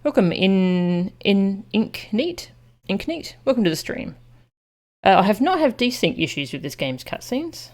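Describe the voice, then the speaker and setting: A woman's voice: medium pitch, very animated, unhurried, a little mellow. A woman chatting casually with viewers as she streams a video game. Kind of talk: casual livestream chat with viewers.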